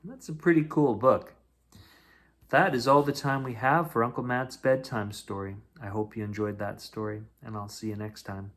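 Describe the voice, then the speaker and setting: A man reading a children's picture book aloud as a bedtime story host, in an expressive, dramatic way. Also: mid-range voice, normal speed.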